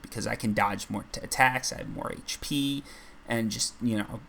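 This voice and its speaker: A man hosting a gaming channel, chatting casually and rambling with self-deprecating asides as he plays, his voice a little raspy. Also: lowish voice, fairly bright tone, slight background noise. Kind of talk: casual gameplay commentary.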